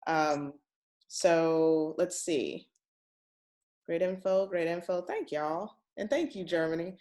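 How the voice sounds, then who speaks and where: A woman's voice: on the low side, animated, slow pace, darkish tone. A woman hosting a question-and-answer session in a casual, chatty, encouraging way with informal, folksy phrasing. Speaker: host of a real estate question-and-answer session.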